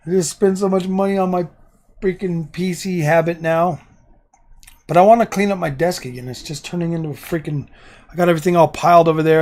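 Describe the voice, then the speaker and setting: Hoarse male streamer, rambling chat. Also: fairly high pitch, darkish tone. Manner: rambling and indecisive, repeats himself. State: hoarse, losing his voice. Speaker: livestream host. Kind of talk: casual live stream chat with viewers. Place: home gaming room.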